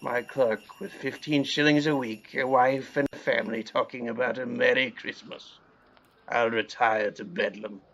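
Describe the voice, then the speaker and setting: A man's voice: mid-range voice, fairly even intonation, moderate pace, fairly bright tone, low-quality recording. A man playing a sour, miserly old uncle in an audio play, stern, indignant and curt. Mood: grumbling. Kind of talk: audio play of a Victorian storybook.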